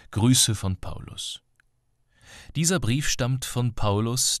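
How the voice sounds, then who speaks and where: Male narrator, lowish voice, for scripture audiobook. Measured, clearly phrased reading, close dry sound. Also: dry recording.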